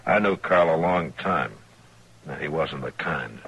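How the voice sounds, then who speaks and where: An actor playing a stern, steady middle-aged frontier marshal in a 1950s Western radio drama, firm and laconic. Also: male voice, rumbling low, a little slow, creaky, breathy edge, exaggerated voice. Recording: noisy vintage radio recording.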